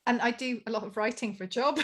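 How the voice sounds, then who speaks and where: A woman's voice: on the high side, fast. A woman hosting a podcast in a chatty, enthusiastic and self-deprecating way. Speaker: podcast host. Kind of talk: conversational podcast interview.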